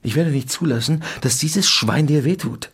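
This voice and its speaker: A man's voice: a little high, moderate pace, breathy edge. An actor playing a hot-tempered, defensive husband and father in a naturalistic radio drama, emphatic and indignant. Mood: soothing. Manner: emphatic, indignant, repeats himself.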